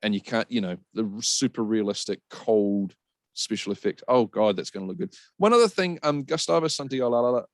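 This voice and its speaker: A man's voice: on the low side, expressive, brisk, no echo, clean studio sound. A man giving his opinions in casual, emphatic, sweary podcast chat. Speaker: podcast panellist.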